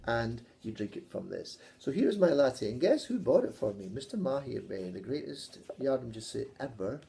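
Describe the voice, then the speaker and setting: Playful Scottish man with a mellow voice. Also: medium pitch, sing-song, normal speed, creaky. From Scotland. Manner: playful, teasing, jokey asides. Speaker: English teacher. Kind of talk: casual video lesson.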